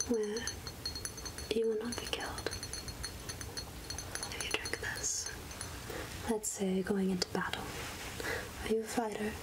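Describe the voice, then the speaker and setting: A woman playing a secretive woodland witch who sells potions, whispering softly and intimately in an ASMR roleplay. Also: medium pitch, close to an everyday voice, rough recording. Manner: slow, deliberate, intimate, addressing the listener as darling. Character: Agatha, secretive woodland witch selling potions, coaxing and darkly kind.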